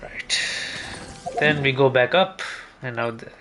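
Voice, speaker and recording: A man's voice: medium pitch, takes it slowly. A man giving casual gameplay commentary, thinking aloud as he mutters through each step to himself. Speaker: video game streamer. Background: game music.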